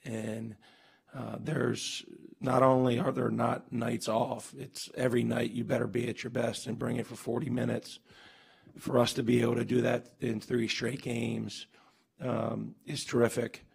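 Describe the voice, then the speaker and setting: Gravelly raspy coach guy at a postgame presser, table mic, keeps restarting his sentences. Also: medium pitch, little pitch movement, unhurried. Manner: earnest, emphatic, many restarts and fillers. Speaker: head basketball coach. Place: press room with sponsor backdrop, seated at table. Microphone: table mic on stand.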